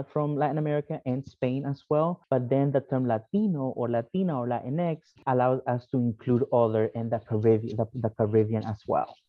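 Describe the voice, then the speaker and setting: A man's voice: mid-range voice, no echo. A man from El Salvador, a podcast guest, explaining his views casually with many fillers like "you know". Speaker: podcast guest, alumni network vice president. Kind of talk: casual interview answers. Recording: dull, dry lo-fi sound.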